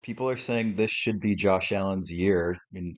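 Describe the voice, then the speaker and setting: Male host, very low sportscaster-like voice, relaxed and thinking aloud; dull lo-fi mic. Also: moderate pace. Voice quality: deep sportscaster-like radio voice. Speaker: live stream host. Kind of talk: casual live game reaction commentary. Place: home with a TV on. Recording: slightly muffled lo-fi audio.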